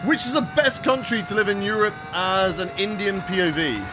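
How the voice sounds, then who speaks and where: A man's voice: high voice, expressive, moderate pace, noisy background. A young man casually chatting with viewers while he plays a racing game on a live stream.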